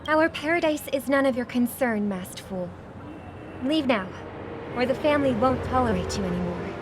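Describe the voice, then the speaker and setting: A woman voicing an earnest, gentle but firm young woman in a video game, composed and sincere, with music behind her. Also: fairly high pitch, animated, normal speed, noisy background, natural voice. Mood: stern.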